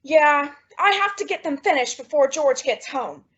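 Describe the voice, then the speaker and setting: Scatterbrained sitcom housewife played by a woman, bright high voice, exclamatory, old radio comedy style. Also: normal speed, highs cut off. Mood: coy, cheerful. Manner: animated, exclamatory. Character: young housewife, flustered, secretive, affectionate and scatterbrained. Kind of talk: 1940s radio sitcom acting.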